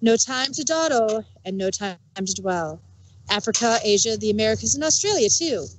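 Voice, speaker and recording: A woman's voice: fairly high pitch, lively intonation, moderate pace. Woman narrating rhymes, faintly noisy recording. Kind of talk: rhyming storybook narration of fan fiction.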